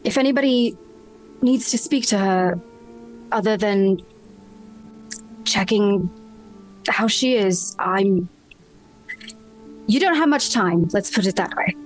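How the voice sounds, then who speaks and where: A woman's voice: mid-range voice, takes it slowly, long pauses, soft background music, rough recording. A woman playing a tabletop roleplaying game, casually explaining the situation to the other players.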